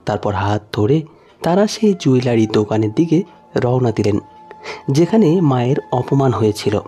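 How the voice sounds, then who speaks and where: A man's voice: mid-range voice, sing-song, normal speed, warm tone, breathy edge, faint music behind. A man narrating a scripted story in a dramatic storytelling style.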